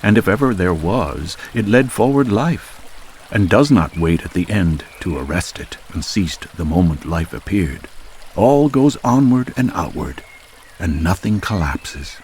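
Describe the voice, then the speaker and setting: A man reciting poetry dramatically as a voice artist, with measured, declamatory delivery and deliberate pauses between phrases. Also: low voice, expressive, darkish tone, a little hiss or hum. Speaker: voice artist reading poetry aloud. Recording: music and nature soundscape behind voice. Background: music, chirping insect sounds.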